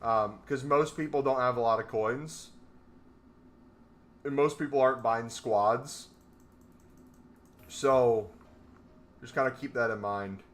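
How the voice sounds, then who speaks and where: A man's voice: medium pitch, slow pace, pauses often. A young man in casual live-stream commentary, laid-back and talking to his chat from a small room. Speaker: trading streamer. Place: small room indoors, gaming chair.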